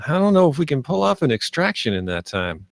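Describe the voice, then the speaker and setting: A man plays a pragmatic space crewman in a sci-fi script read. His mid-range voice is lively in pitch, wary and practical, and recorded dry and close. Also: fairly quick, creaky, no echo. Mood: worried, firm. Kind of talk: screenplay table read acting.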